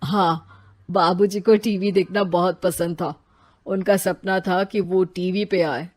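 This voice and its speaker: Woman as an earnest, slow-speaking elder brother. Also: fairly low pitch, steady tone, a little mellow, pitched below the performer's own voice. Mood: wistful. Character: curious, sentimental married man, the elder brother.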